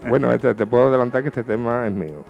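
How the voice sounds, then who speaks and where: A man's voice: medium pitch, quick pace, creaky, dull audio, faint music behind. A man hosting a radio show, chatting casually as he presents a segment. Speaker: radio host.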